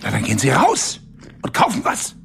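An actor playing a pompous, demanding banker in a radio play, ordering staff about. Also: male voice, medium pitch, wildly swooping pitch, normal speed, creaky, fairly bright tone, a little hiss or hum, full character voice. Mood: imperious. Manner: pompous, commanding.

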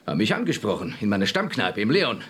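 Snooty scientist, pitch jumps all over, bit of hiss behind. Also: male voice, very animated, quick pace, fairly bright tone. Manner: haughty, defensive, snide. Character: arrogant male chemist under suspicion. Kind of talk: radio drama acting.

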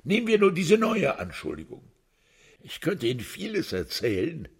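An actor playing an accused prisoner in a radio drama, pleading his innocence emotionally with a husky voice. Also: huge pitch swings, normal speed, full character voice. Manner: pleading, emotional, swinging pitch.

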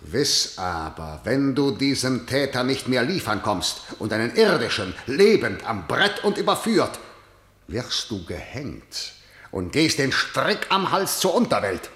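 An actor playing an imperious, suspicious king in a verse tragedy radio play, with measured, commanding declamation. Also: mid-range voice, very animated, a bit bright, reverberant. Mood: threatening. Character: stern, threatening male king, imperious and suspicious.

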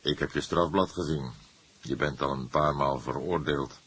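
A man playing a calm, probing old police detective in an audiobook, asking questions in a measured way. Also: extremely low pitch, husky, full character voice. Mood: stern. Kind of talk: acted audiobook dialogue.

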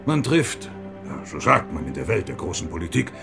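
An actor in a German radio play playing a defiant, wry monk and church reformer, gravelly and musing, halting for effect. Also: male voice, sits low, sing-song, music in the background, full character voice. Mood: wry, resigned.

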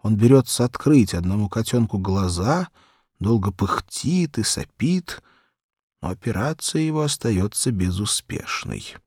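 A man reads a children's literary audiobook with theatrical, measured delivery. His voice is low and husky, with lively swings of pitch, recorded very dry. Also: no echo. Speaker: narrator.

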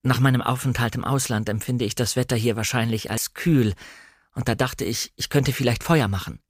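A man's voice: medium pitch, normal speed, no room sound, studio quality, close to an everyday voice. A man reading as a shy, apologetic young lady. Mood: embarrassed, apologetic. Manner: hesitant, polite, self-effacing. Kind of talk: audiobook character reading.

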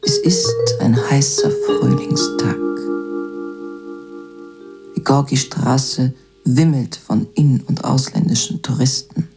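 A man narrating a literary radio drama in a measured, detached, observational way. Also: medium pitch, close to an everyday voice. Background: mallet percussion music.

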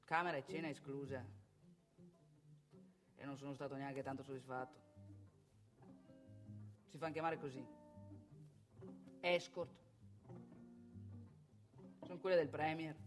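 A woman playing a brash, bitter, boastful womanising divorced salesman in a theatrical monologue, with colloquial, crude, volatile delivery and rhetorical questions. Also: deep voice. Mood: smug, matter-of-fact. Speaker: stage monologue performer. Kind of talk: theatrical monologue addressed to audience.

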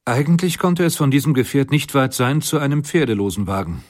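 Male actor as idealistic inventor of the 1800s, mid-pitched, solemn, old-fashioned memoir style, very dry sound. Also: expressive, brisk, no echo, lightly acted. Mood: reflective. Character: Carl Benz, earnest idealistic 19th-century German inventor, recollecting. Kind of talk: dramatized memoir reading.

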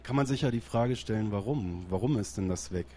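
A man from Berlin with a mellow, dark voice interviews a passer-by on a city square. His questions are casual and probing. A faint street hum sits behind him. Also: on the low side, a little fast. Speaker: radio reporter, interviewer. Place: outdoors on a busy city square.

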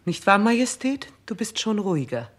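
A woman's voice: medium pitch, sing-song, normal speed. An actress playing a coolly commanding, scornful queen in a 1960s German radio play, curt and dryly sarcastic. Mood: cool, detached. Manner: curt, imperious, dry sarcasm.

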